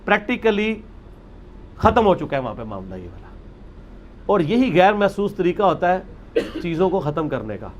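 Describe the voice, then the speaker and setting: A man's voice: sits high, sing-song, moderate pace, pauses often, dull audio, slight background noise. A man giving a religious talk, arguing his point with rhetorical questions and emphasis. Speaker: religious speaker. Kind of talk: lecture on religious practice.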